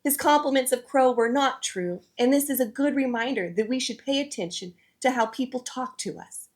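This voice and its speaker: A woman with a fairly high voice presents a children's read-aloud show. She speaks to her young audience in a scripted, direct style. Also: normal speed.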